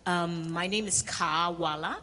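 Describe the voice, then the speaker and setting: A woman from Cameroon speaking from the audience. She is forceful, defiant and deliberate. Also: lowish voice. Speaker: audience member asking a question. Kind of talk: impassioned public comment. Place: conference hall, audience standing mic. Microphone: standing mic. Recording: long hall reverb.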